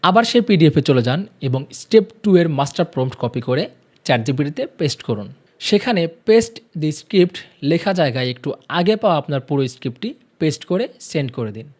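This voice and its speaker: A man's voice: on the high side, very animated, quick pace, a little mellow. A man presenting an instructional tutorial, guiding viewers directly step by step.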